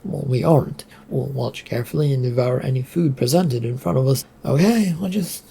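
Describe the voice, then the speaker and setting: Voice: medium pitch, normal speed, creaky, dark tone, husky. A storyteller voicing an unsure, polite young man with a hesitant, placating manner. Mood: practical.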